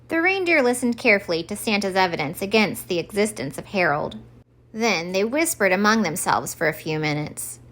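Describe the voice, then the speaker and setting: A woman narrates a children's storybook for story time. Her voice is bright and her intonation is very animated, shaping each phrase expressively. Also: medium pitch, fairly quick.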